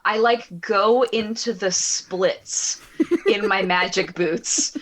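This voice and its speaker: Unhurried, playful woman gaming. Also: medium pitch, lively intonation, fairly bright tone, poor audio. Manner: playful, jokey. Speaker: player. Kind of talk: casual tabletop game play.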